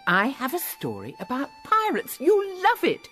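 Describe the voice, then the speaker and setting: Brisk older british teacher lady, insistent, very swoopy high voice, bit of music behind. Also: huge pitch swings, a bit bright, exaggerated voice. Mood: theatrical. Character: bossy, jolly older female history teacher. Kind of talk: audio drama acting.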